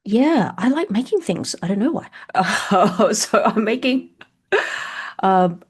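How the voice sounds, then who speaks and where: A woman's voice: medium pitch, lively intonation, slow pace, a little mellow, breathy edge. A middle-aged woman from Australia, a company CEO answering interview questions frankly, laughing often and using many fillers over a video call. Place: at home.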